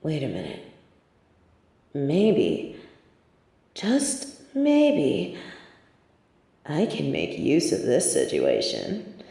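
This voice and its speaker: A woman playing a sardonic, scheming supervillain in a scripted audio roleplay, with a theatrical, measured, wry delivery and grudging sympathy. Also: extremely low pitch, wildly swooping pitch, creaky, dark tone, husky, full character voice. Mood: bitter, muttering. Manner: theatrical, measured, wry, drops into muttered asides.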